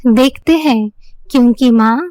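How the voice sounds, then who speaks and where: Slow, high-pitched woman storyteller. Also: mellow, no echo. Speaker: narrator. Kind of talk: scripted children's moral story narration.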